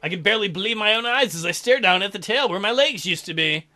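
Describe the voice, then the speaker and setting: High, lively man reading a game aloud. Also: fast talker. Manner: crude, mocking asides. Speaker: let's play host. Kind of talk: reading a visual novel aloud with jokey commentary.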